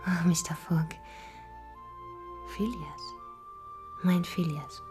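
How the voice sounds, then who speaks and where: An actress playing a devoted, gentle gentlewoman in love in a German audio drama, tender and earnest. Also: lowish voice, steady tone, drawn-out words, music in the background. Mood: loving, earnest.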